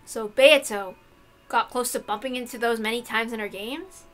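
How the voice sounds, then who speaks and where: A woman playing an excitable, confident young man in a visual novel, with an eager, informal, emphatic way of talking. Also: extremely high pitch, moderate pace. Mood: curious, hopeful. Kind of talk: visual novel character reading.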